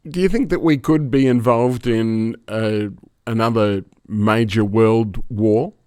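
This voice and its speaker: A man's voice: lowish voice, slow, darkish tone, smooth, no echo, studio quality. A man hosting a podcast, asking a guest conversational, curious questions. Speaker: podcast host. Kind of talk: interview questions.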